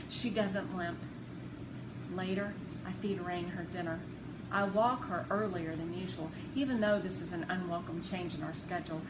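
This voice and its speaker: A woman narrating a children's novel expressively, with deliberate, dramatic phrasing. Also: lowish voice. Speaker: read-aloud narrator. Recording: roomy, noisy home recording.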